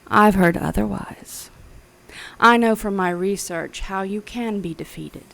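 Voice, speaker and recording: A woman's voice: mid-range voice, a little slow, gravelly, a bit bright. An actress playing a smug, menacing female mad scientist in an audio drama thriller, speaking precisely and theatrically. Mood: gloating menace.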